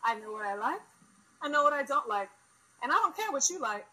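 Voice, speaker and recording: A woman's voice: high voice, big pitch swings, fairly quick, bright, forceful tone, poor audio. A woman in her thirties delivering a blunt, sassy, emphatic comedic monologue to camera. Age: 30s.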